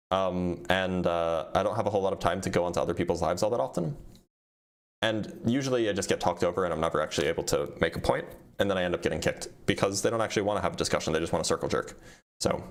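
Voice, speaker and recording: A man physicist reading viewer questions aloud on a casual livestream and answering them plainly, with frequent ums, as he starts to lose his voice. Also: on the low side.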